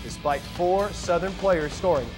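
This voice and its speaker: An American man working as a TV sports anchor, reading highlights and sports news in punchy, excitable phrases. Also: unhurried, loud music behind the voice, poor audio.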